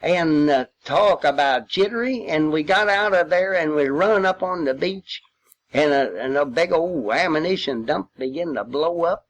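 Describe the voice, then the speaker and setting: A man's voice: fairly high pitch, moderate pace, poor audio. An older man telling war memories informally, in a folksy, rambling way with long hesitations. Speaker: war veteran recounting memories. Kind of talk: informal spoken reminiscence.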